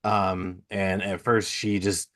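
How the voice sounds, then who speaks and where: A man's voice: deep voice, level tone, slow, fairly bright tone. A middle-aged man hosting a podcast, talking in a casual, conversational interview style. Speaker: podcast host.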